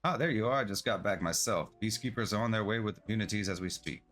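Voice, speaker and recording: Brisk fantasy travel agency boss, read by a man. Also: on the low side, a bit bright, soft background music, lightly acted. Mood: brisk, upbeat.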